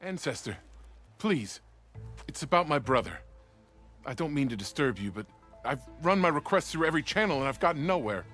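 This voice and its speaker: A man's voice: a little high, sing-song, normal speed, a bit bright. An actor playing a formal, anxious male weapons officer in a video game, earnest and deferential in his manner. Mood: pleading, anxious. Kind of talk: video game cutscene acting. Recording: music behind the dialogue.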